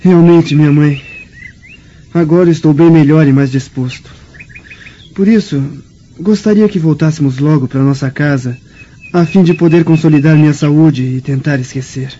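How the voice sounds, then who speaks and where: A man's voice: on the high side, normal speed, dark tone, close to an everyday voice. An actor with a Brazilian accent playing an anguished young Roman nobleman in a radio drama, with solemn, sorrowful, formal delivery. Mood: brooding. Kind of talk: melodramatic radio drama acting. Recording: dull lo-fi radio drama, background noise.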